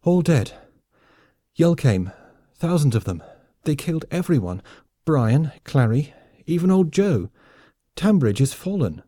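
Audiobook soldier, acted by a man: wounded and desperate, gasping, urgent delivery, pitched a little high, dry recording. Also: big pitch swings, unhurried, mellow, dry recording. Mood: panicked. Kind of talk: audiobook character voice.

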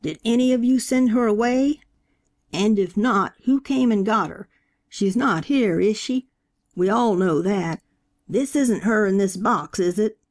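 A narrator doing a fervent old preacher, gravelly. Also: mid-range voice, measured pace, voice pushed up, natural voice. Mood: preaching. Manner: shouting rhetorical questions, preaching cadence. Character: fervent elderly male preacher, theatrical. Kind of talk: audiobook character voice.

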